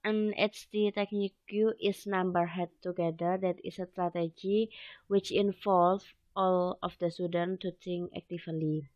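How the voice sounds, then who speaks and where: Slow, halting young woman presenting. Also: lowish voice, fairly even intonation, no echo, highs cut off. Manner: hesitant, halting non-native English with frequent "okay" fillers. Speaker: student presenter. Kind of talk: academic presentation.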